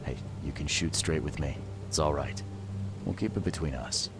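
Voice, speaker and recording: Game investigator, male: rock-bottom pitch, weary, terse, fair-minded, matter-of-fact. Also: normal speed, gravelly, noisy background. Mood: reassuring. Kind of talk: video game cutscene dialogue.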